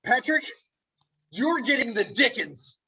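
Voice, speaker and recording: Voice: mid-range voice, big pitch swings, slow pace, gravelly, bright, forceful tone, breathy edge, exaggerated voice. An actor playing a reluctant, anxious man in a comedy table read, blunt and protesting, over a muffled, noisy video call. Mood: resolved. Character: reluctant, anxious male best friend named Dave.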